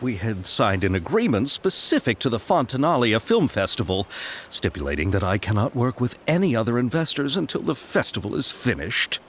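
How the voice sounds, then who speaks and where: A chatty, courteous producer. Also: male voice, medium pitch, very animated, fairly quick, a little hiss or hum. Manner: courteous, wordy, given to nervous laughs. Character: polite, eager young male film producer. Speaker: game character. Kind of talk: video game voice acting. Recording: game audio with soft music.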